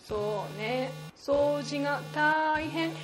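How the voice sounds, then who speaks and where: A woman voicing a coy, poised and wry café keeper in an amateur radio drama, with drawn-out, teasing feminine speech. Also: high-pitched, music in the background, poor audio. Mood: mildly reproachful.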